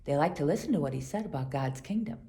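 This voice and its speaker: A woman with a low, mellow voice reads a scripted children's Bible story. Her delivery is expressive, with lively swings in pitch that suit storytelling. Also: fast, a little hiss or hum. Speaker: storybook reader.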